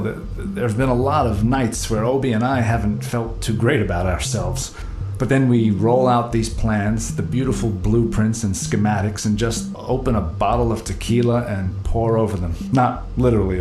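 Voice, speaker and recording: A man's voice: on the low side, normal speed, echoey room, over music, lightly acted. An actor playing a rugged middle-aged treasure hunter and boat captain in an audio drama, telling his story in a casual, reflective way. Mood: wistful. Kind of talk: naturalistic full-cast audio drama acting.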